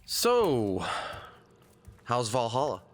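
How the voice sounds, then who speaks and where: A man's voice: medium pitch, huge pitch swings, drawn-out words, full character voice. A man playing a good-natured, skeptical young hero in an audio drama, talking in a casual, wry, modern way. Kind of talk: audio drama character acting.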